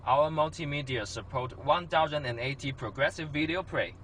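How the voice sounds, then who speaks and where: A man's voice: fairly high pitch, moderate pace, a bit bright, slight background noise. A man narrates a scripted product demonstration in simple, slightly unidiomatic phrasing. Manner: non-native English phrasing.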